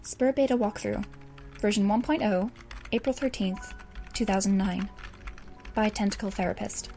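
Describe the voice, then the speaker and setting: A woman's voice: mid-range voice, fairly even intonation, moderate pace, mellow, natural voice. Woman as dry, erudite teenage girl, music behind. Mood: dry, grave. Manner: verbose, dry, sardonic. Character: wry, erudite teenage girl writing a doomsday game walkthrough. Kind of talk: scripted audio drama monologue.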